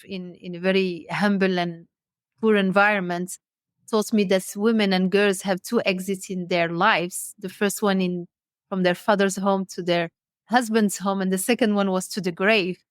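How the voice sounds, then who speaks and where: A woman from Morocco hosting a podcast, interviewing conversationally in a halting, discursive and affirming way. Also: mid-range voice, fairly even intonation, clear, resonant tone, dry recording, studio quality. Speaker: podcast host.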